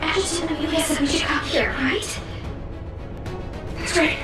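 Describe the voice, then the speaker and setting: Very high-pitched anxious girl played by a woman. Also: steady tone, normal speed, lightly acted. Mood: uneasy. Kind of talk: video game cutscene acting. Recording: echoey, distant, under loud music.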